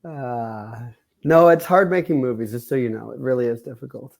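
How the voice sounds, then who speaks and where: A man's voice: moderate pace, vocal fry, darkish tone. A middle-aged man who directs films, chatting loosely in an interview. He talks with restless energy, piling up jokes and rambling comparisons. His voice sits in an ordinary middle range. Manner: high-energy, joking, rambling analogies. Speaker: film director. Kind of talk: casual interview chat.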